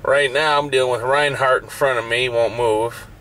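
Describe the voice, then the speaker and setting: A man's voice: medium pitch, fairly even intonation, normal speed, bright, forceful tone, rough recording. A young man, a truck driver, talking in a blunt, profane, casual way from his truck cab to a dashboard camera. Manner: blunt and profane, raised and heated in a rant. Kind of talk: casual vlog talk.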